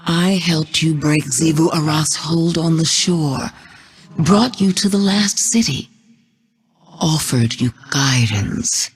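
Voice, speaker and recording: A woman voice actor playing a sly, manipulative witch queen in a video game, very breathy and husky. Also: deep voice, slow pace, full character voice.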